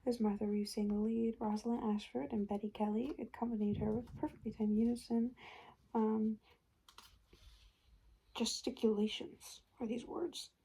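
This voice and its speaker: A woman speaking softly and breathily in an ASMR roleplay, often slipping into a whisper, chatty and a little hesitant. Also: on the high side, flat delivery, moderate pace, creaky, husky, low-quality recording. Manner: hesitant, chatty, murmured reading aloud. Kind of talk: soft-spoken ASMR roleplay. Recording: noticeable background noise. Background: paper and tapping sounds.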